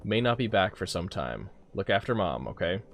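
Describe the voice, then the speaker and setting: A man voicing an excited, obsessive scientist from a video game, jittery and urgent as he reads the dialogue. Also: sits low, normal speed, soft background music. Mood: earnest resolve. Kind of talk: reading game dialogue aloud.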